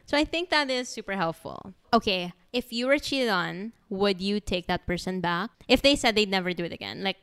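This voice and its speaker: A woman's voice: mid-range voice, lively intonation, moderate pace, hardly any pauses, fairly bright tone, roomy sound. Giggly teasing woman host. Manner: teasing, giggly, mixes Tagalog slang. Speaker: podcast host. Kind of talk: casual chat.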